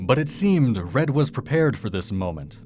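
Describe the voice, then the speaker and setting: A man reading a dark fairy tale as a dramatic, scripted storyteller. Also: mid-range voice, very animated, moderate pace. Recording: music behind the voice.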